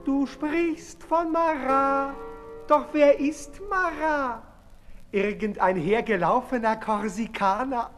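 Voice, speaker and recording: A man's voice: very high voice, slow. An actor playing a florid, lovesick aristocratic man in a 1960s radio drama, pompous and theatrical. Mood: pleading, dismissive. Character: florid lovesick aristocratic suitor, haughty and pleading. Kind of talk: 1960s German radio drama, musical declamation. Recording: reverberant old radio play with music.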